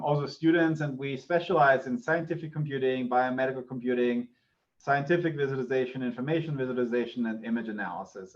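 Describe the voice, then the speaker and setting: A middle-aged Austrian man with a German-speaking background gives an informal university lecture. His voice sits at an ordinary male pitch, and the online audio is somewhat muffled. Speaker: university lecturer, associate professor.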